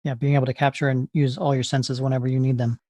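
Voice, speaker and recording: A man hosts a podcast interview in a casual, conversational way. His voice sits at a middling pitch and is recorded dry, and he responds agreeably with short affirmations. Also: little pitch movement, fast, mellow, dry recording.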